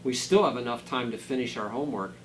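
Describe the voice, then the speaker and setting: A man voicing a schoolboy in a storybook, with plain, slightly halting delivery. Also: mid-range voice, distant mic, slight background noise, lightly acted. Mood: dismissive. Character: schoolboy, casual then meek and apologetic.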